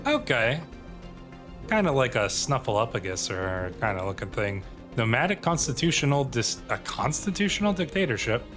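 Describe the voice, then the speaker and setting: Music plays clearly behind a man with a mid-pitched voice commentating on a strategy game. He reads text aloud and thinks out loud in a musing way, as if talking to himself. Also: sing-song, normal speed. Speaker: gaming video host.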